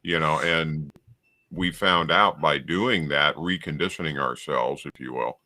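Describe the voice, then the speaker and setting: A man's voice: very deep voice, measured pace, pushed and bright, no room sound. A man reflecting casually in conversation about shared spiritual experiences, as a guest on a radio show.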